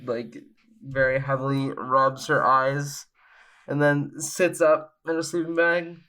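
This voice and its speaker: A man taking part in a tabletop roleplaying session. He speaks slowly and unhurriedly at a middle pitch, with a dry, drowsy, sardonic delivery. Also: level tone, sharp tone. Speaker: player.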